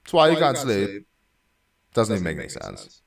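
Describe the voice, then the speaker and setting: Deep gravelly young man, echoey room. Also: wildly swooping pitch, normal speed. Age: 20s. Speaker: reaction video host. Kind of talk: casual reaction commentary. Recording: echoey room, distant mic.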